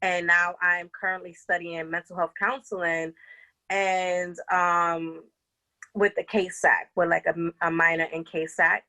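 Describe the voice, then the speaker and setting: A young woman from the Bronx with a Bronx New York accent, speaking as a podcast guest and empowerment coach, earnest and pausing often with "um". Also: fairly low pitch, little pitch movement, pushed and bright. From the Bronx, New York City. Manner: earnest, many "um" pauses, laughs easily. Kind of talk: casual interview talk.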